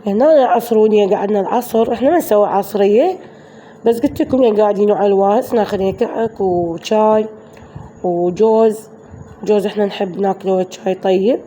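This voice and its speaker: A woman with an Iraqi Arabic accent, hoarse from a flu, chatting through a voice-over of her daily home routine for her viewers. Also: medium pitch, brisk, warm tone, slight background noise. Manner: chatty, addresses viewers as girls. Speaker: vlogger.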